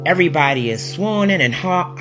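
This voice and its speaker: A woman's voice: on the low side, animated, fairly quick. A woman telling a story in a chatty, amused way and laughing between her remarks. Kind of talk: casual storytelling. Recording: guitar music under the voice. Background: music playing.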